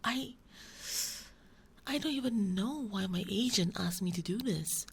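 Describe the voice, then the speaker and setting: A woman playing a flustered, shy romance novelist roommate in an ASMR roleplay, hesitant, soft-spoken and rambling as she justifies herself. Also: medium pitch, measured pace, breathy edge. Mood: embarrassed, exasperated. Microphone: binaural ASMR microphone. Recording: faint noise, binaural microphone.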